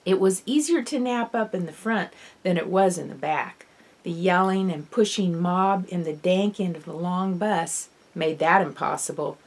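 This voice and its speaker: A woman author reading her own children's novel aloud in the first person, as expressive, dramatic storytelling. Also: lowish voice, normal speed. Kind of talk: audiobook narration of children's fiction, first person.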